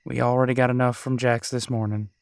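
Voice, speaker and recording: A man's voice: mid-range voice, level tone, fast, vocal fry, clear, resonant tone, no room sound, clean studio sound, natural voice. A man playing an easygoing, protective, brotherly werewolf in a casual roleplay audio drama. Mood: amused. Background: crickets at night.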